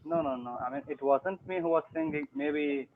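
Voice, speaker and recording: A man's voice: on the high side, normal speed. A young man who is learning English, talking hesitantly with many fillers on an online tutoring call. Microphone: video call. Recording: tinny phone-like call audio.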